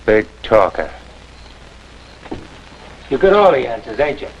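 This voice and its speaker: An actor playing a belligerent, taunting hothead in an old American TV western, full of contempt. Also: male voice, mid-range voice, very animated, unhurried, dull audio. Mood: sneering. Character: sneering, hot-headed male western fighter. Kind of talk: mid-century American TV western acting. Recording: old TV soundtrack, faint noise, rain.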